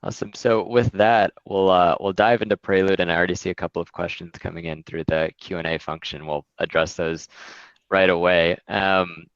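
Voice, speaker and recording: A man presenting his investment firm in a relaxed webinar talk, with frequent fillers. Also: deep voice, a little fast, dry recording, lo-fi sound.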